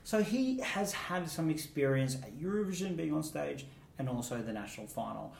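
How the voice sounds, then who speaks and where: A man's voice: a little high, expressive, moderate pace, creaky, far from the mic. A man co-hosting a casual chat that reviews song contest entries, talking fondly and laughing often. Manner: chatty, laughs often, fond asides. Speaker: co-host. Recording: roomy echo, set back from the mic.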